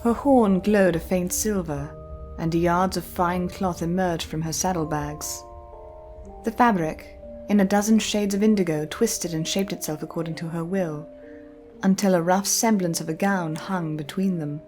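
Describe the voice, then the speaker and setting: A woman reading a scripted, dramatic story as narrator, with measured and deliberate phrasing. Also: fairly low pitch. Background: soft music.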